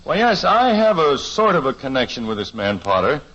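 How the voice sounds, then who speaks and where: A man's voice: mid-range voice, sing-song, moderate pace, fairly bright tone. An actor playing a flustered, good-natured suburban father in a 1950s radio sitcom, hesitant and stalling with fillers, heard on a dull lo-fi old radio recording.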